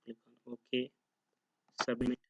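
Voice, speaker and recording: A man giving an unscripted, step-by-step software tutorial, halting and pausing mid-sentence as he goes. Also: mid-range voice, completely flat delivery, a little hiss or hum, low-quality recording.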